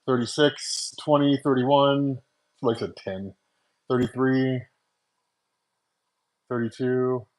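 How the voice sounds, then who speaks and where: A man's voice: medium pitch, steady tone, takes it slowly, pauses often, darkish tone, low-quality recording. A man in his forties chatting casually with viewers on a live stream, talking loosely with lots of fillers and laughs, into wireless earbuds. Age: 40s. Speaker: comics channel host. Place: at home making coffee.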